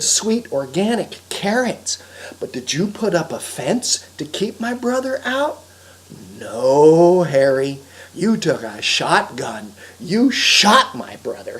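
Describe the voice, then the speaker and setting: A woman voicing a big old woodchuck in a story, indignant and accusing, with a drawn-out, scolding delivery. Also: medium pitch, pitched above the performer's own voice, rough recording. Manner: drawn-out, scolding, repeating the name pointedly. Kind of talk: live storytelling character voice.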